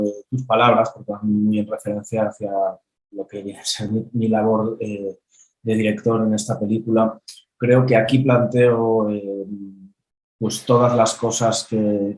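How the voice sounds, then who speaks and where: Low, flat-voiced man. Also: poor audio. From Valencian Country, Spain. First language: Valencian. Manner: measured, thoughtful, frequent hesitant "eh" fillers. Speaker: film director, guest. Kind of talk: reflective interview answer.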